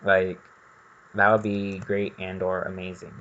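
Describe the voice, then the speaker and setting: A man's voice: deep voice, completely flat delivery, measured pace, fairly bright tone, lo-fi sound. A man doing casual gameplay commentary, rambling and thinking aloud as he goes. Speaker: gaming video host.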